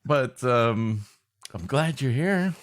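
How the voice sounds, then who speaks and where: A man co-hosting a podcast with improvised comic banter in a halting, mock-earnest, repetitive way. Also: medium pitch, animated. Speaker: podcast co-host.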